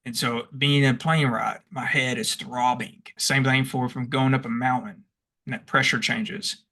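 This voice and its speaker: A young man co-hosting a podcast, telling stories in a casual, animated way and acting out what he shouted. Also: mid-range voice, normal speed. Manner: animated, acts out past shouts; rambling with restarts and laughs. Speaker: podcast co-host. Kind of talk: casual storytelling chat.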